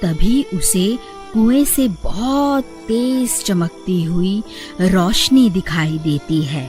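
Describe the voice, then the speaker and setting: A woman's voice: mid-range voice, lively intonation, slow pace, darkish tone. A woman storyteller reading scripted narration for a horror cartoon. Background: background music.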